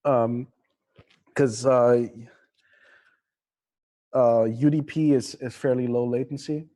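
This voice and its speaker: Man, somewhat American accent, mellow relaxed tone, mid-pitched, informal blunt rambling, livestream interview guest. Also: slow. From Singapore. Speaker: interview guest, drone company representative. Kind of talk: casual livestream interview chat.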